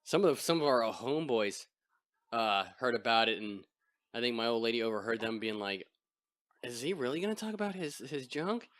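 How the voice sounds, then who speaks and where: A man with a deep voice hosting a casual podcast chat, crude and self-deprecating. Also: lively intonation, moderate pace, fairly bright tone, no room sound, studio quality. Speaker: podcast host. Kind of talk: casual chat over beers.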